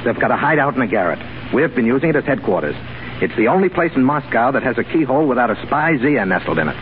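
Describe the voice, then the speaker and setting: A brash foreign correspondent voiced by a man who talks briskly, his voice lively and bouncing. The sound is tinny, with faint hiss, like an old broadcast. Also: mid-range voice, lightly acted. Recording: tinny 1930s radio broadcast.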